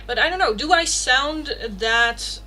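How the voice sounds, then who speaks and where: A woman's voice: sits high, expressive, measured pace, bright, forceful tone, slight background noise. A young Dutch woman with a Dutch accent, chatting casually on a livestream and reading oracle cards aloud. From Netherlands. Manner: chatty, laughs often, swears casually, reads card text aloud. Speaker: tarot reader hosting a livestream.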